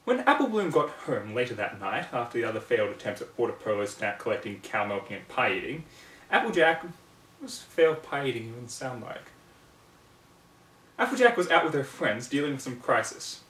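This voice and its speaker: A man's voice: mid-range voice, big pitch swings, brisk, a bit bright. Male amateur narrator for storybook reading, recorded at home with audible room echo and some distance from the mic. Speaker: fan fiction reader.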